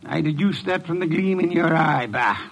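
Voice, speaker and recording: An actor playing a heavy, arrogant, indolent genius detective in an old radio drama, gruff, curt and formal in his diction. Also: a little high, expressive, moderate pace, fairly bright tone. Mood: grumbling.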